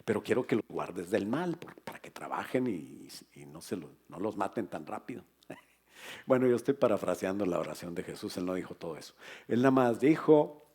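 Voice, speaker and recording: A husky, lower-voiced man preaches with animated energy, joking with the congregation and then pressing his points home. He is a middle-aged pastor speaking in a church. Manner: lively preaching with humor and asides to the congregation. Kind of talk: sermon.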